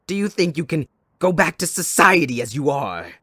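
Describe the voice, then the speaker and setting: A man playing a mocking, possessive, sadistic villain in an ASMR audio roleplay, with a taunting, drawn-out theatrical delivery. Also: fairly high pitch, sing-song, a bit bright, no room sound, studio quality. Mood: cruel, coaxing.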